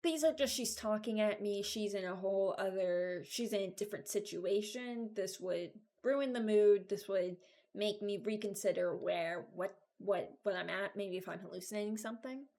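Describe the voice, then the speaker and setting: A woman giving informal, halting commentary as a video creator, thinking aloud while she ranks things. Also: medium pitch, a little mellow. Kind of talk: casual commentary, ranking game voice lines.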